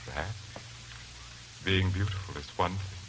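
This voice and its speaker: A low-pitched, brooding male lodger in an old radio thriller. He speaks formally, with menacing intensity, on a noisy vintage recording. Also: unhurried, fairly bright tone. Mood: menacing, fervent. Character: brooding, fanatical male lodger obsessed with evil beauty. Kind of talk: 1940s radio suspense acting.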